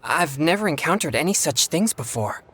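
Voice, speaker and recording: An actor playing a polite, earnest young male exorcist in a video game, speaking in formal, courteous, measured phrases. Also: fairly high pitch, expressive, a bit bright, a little hiss or hum. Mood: earnest, pondering. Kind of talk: scripted video game dialogue.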